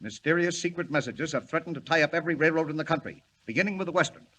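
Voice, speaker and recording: Gruff editor, forceful and bright, faint old-radio hiss. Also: male voice, fairly high pitch, rapid-fire, poor audio. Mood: brusque. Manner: blunt, brusque, excitable. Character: gruff middle-aged male newspaper editor. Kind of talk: 1940s radio acting. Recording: hissy 1940 radio recording.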